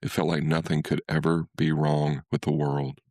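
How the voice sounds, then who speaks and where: A man's voice: very deep voice, little pitch movement, moderate pace, mellow, dry recording, clean studio sound. A man reading a literary short story as scripted narration.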